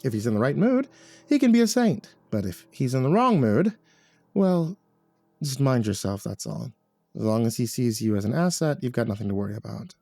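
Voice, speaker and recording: A man's voice: mid-range voice, big pitch swings, normal speed, gravelly, mellow, no echo, soft background music. A man voicing a brash, foul-mouthed tough woman in an audiobook, barking and sardonic, with scornful teasing. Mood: sardonic, reassuring. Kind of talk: audiobook character voice.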